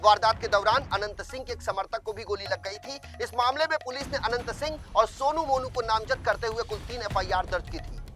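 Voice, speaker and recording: A man's voice: sits high, brisk, few pauses. A man reading a scripted news bulletin as anchor, formal and emphatic. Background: music bed.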